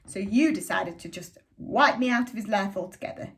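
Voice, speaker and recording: A woman's voice: mid-range voice, lively intonation, brisk, natural voice. A woman voicing a protective mother arguing with her ex-husband. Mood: angry. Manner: shouting, then gentle and soothing. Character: protective mother, fierce when shouting.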